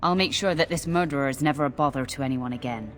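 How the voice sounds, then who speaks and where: A man's voice: medium pitch, fast, soft background music, close to an everyday voice. Stern, haughty templar knight voiced by a man. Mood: smug menace. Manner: formal, haughty, accusing. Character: stern, self-righteous male templar knight, menacing. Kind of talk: fantasy game dialogue acting.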